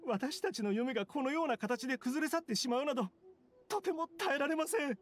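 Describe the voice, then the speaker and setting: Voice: high voice, expressive, a little fast. An actor voicing an earnest, anxious townsman, a younger brother who pleads formally and very politely, in a Japanese anime game. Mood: worried.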